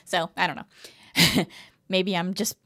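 A woman's voice: on the low side, level tone, slow pace, sharp tone, no room sound, clean studio sound. A woman in her fifties co-hosting a podcast, chatting casually and reading from articles. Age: 50s. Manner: chatty, reads quotes aloud then comments. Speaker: podcast co-host.

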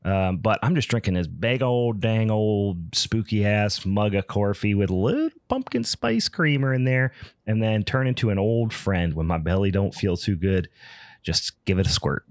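A man's voice: on the low side, lively intonation, normal speed, dry recording. A man co-hosting a podcast, in a slangy, jokey drawl. He says he is feeling a bit under the weather. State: feeling unwell. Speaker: podcast co-host. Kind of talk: casual chat.

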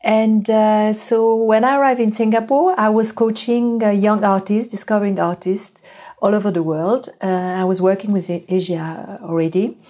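Mellow-voiced woman, halting speech. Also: mid-range voice, fairly even intonation. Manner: halting, restarting phrases, hesitant. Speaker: guest, former artist coach. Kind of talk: conversational interview answer.

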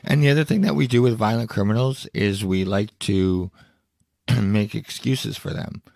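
A podcast host with a lowish, gravelly voice that is going hoarse from a cough. He reads out news items and reacts in a blunt, indignant way, swearing freely. The sound is close and dry, with no room around it. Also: darkish tone, no room sound. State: hoarse, losing his voice with a cough. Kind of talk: solo podcast commentary reading news aloud.

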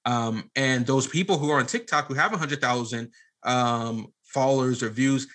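Male podcast guest, mid-pitched and sharp-edged, casual but emphatic delivery. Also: normal speed. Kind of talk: casual conversational interview.

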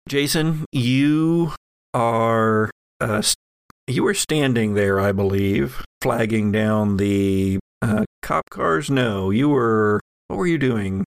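A man improvising tabletop game narration as game master, halting as he searches for his words. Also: mid-range voice, vocal fry, smooth, no echo.

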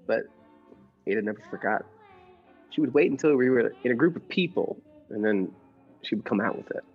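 A man playing a mild henpecked husband plotting murder in a radio play, measured in narration and hesitant in conversation. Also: medium pitch, animated, breathy edge, dull audio, faint music behind. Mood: brooding, confiding.

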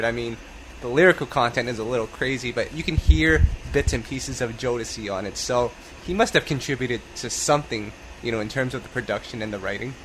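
Podcast host: American male, medium pitch, easygoing, teasing chat, slight noise in the background. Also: fairly bright tone, low-quality recording.

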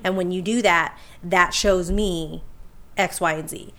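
A woman with a fairly low voice talks candidly on a podcast. Her tone is reflective and easygoing, and she laughs easily. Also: fairly even intonation, a little slow, fairly bright tone. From Puerto Rico. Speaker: podcast guest. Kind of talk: casual podcast conversation.

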